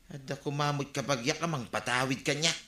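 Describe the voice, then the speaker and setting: A man's voice: mid-range voice, fairly quick, bright, forceful tone, roomy sound, lightly acted. A man playing an envious, grumbling village neighbour with an Ilocano accent in a comedy radio drama.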